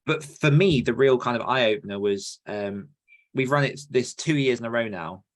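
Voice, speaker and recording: A man's voice: medium pitch, normal speed. A man hosting a podcast, explaining things earnestly in a casual, conversational way. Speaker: podcast host.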